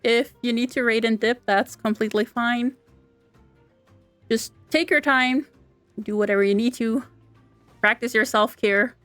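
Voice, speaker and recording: A woman's voice: on the high side, steady tone. Giggly young Austrian woman streamer. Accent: Austrian German. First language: German. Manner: chatty, giggly, halting with restarts. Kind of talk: casual live-stream chat. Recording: dry close mic, quiet game music.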